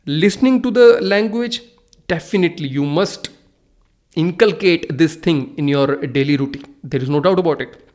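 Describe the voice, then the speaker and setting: A man's voice: on the high side, normal speed, a little mellow. A young Hindi-speaking man with an Indian accent, talking earnestly into his phone camera, repeating himself and restarting sentences. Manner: earnest, repetitive, restarts often. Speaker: English-speaking channel host. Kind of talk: casual to-camera talk.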